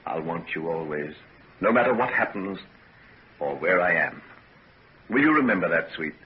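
Low-voiced, troubled young lover. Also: male voice, very animated, moderate pace. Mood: tender. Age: young adult. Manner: romantic, earnest delivery. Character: troubled, devoted young doctor in love. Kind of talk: 1940s radio drama acting. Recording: dull, noisy 1940s radio recording.

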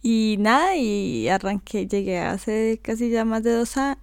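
Young Colombian woman, co-host, unhurried casual chat, laughs easily, dry close sound. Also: fairly high pitch, smooth, no echo. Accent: Colombian Spanish. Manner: relaxed, laughs often, many fillers. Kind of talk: casual conversation.

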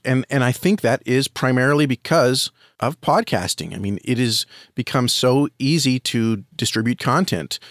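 Man, American accent, medium pitch, earnest, enthusiastic, conversational interview, dry recording. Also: no room sound. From United States. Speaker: podcast guest, audio drama reviewer and podcaster.

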